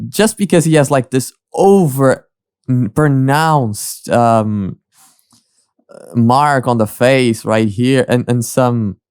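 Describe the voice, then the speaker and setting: A man giving casual, halting reaction commentary as a video host, often rephrasing as he goes. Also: medium pitch, darkish tone, smooth, no room sound, clean studio sound. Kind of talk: casual commentary on a cartoon parody.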